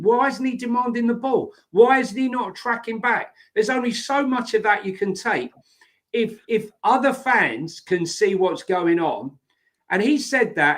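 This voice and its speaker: A man co-hosting a football podcast, blunt and opinionated, often repeating his words. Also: high voice. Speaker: podcast co-host. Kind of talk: casual football chat.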